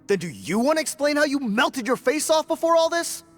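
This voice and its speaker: A man's voice: very high-pitched, sing-song, fairly quick. An actor playing a sarcastic, combative male prison escapee in a game cutscene. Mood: bitter. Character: bitter hot-tempered male prison escapee.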